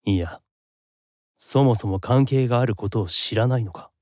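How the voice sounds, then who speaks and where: An actor playing a composed, guarded male investigator who narrates his own mystery in an audio drama with serious, measured delivery. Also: medium pitch, a little mellow, no echo, clean studio sound, close to an everyday voice. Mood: brooding. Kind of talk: audio drama dialogue and inner monologue. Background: prominent music.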